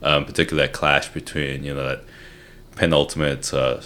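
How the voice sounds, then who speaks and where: A man's voice: rumbling low, flat delivery, brisk, sharp tone. A man hosting an interview podcast, talking casually and hesitantly with many fillers. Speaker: podcast host.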